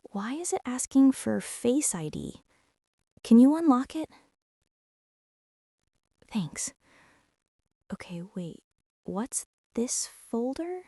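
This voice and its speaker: A woman playing a young former bully in an intimate ASMR roleplay, speaking in a hushed, hesitant way that often slips toward a whisper. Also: mid-range voice, no room sound, clean studio sound, full character voice. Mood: suspicious, hushed. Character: young female former bully, stunned, teasing, softening into tenderness.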